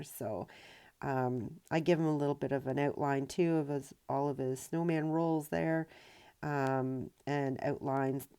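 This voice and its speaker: A woman with a low, smooth voice talks through a painting tutorial as a voice-over. She sounds nasal from a cold. She keeps it casual, with lots of ums and uhs. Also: a little mellow. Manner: chatty, with many ums and uhs. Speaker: rock painting tutorial host.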